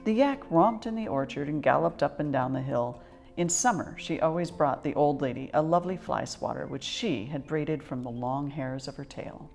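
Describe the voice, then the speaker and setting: A woman reading a children's picture book aloud as a storyteller, with expressive, measured delivery. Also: low voice, creaky, faint music behind.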